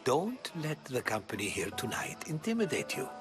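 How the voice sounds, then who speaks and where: A man's voice: mid-range voice, wildly swooping pitch, moderate pace, soft background music. An actor playing a genial, learned older Greek man, wry and eloquent, in a video game cutscene. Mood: wry, reassuring.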